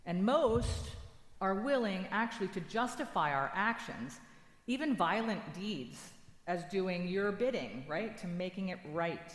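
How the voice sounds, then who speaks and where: A woman's voice: mid-range voice, expressive, a little slow. A woman acting as panel moderator, putting probing questions in a dramatized church reading. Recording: echoey hall, long reverb.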